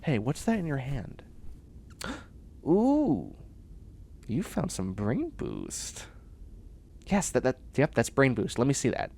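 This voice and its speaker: A man's voice: medium pitch, huge pitch swings, takes it slowly, gravelly, pauses often, dark tone, a little hiss or hum, exaggerated voice. A man playing a nerdy, self-assured young bioengineering student in a comedic sci-fi audio roleplay, chatty, snarky and boastful. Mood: eager, chatty. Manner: chatty, snarky, boastful, muttering asides and exclamations.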